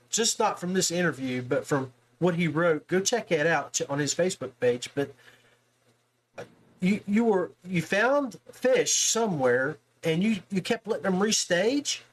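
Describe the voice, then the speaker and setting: A man's voice: high-pitched, expressive, measured pace, slight background noise. A man hosting a casual sports podcast interview, talking in a chummy, familiar way with guest and listeners.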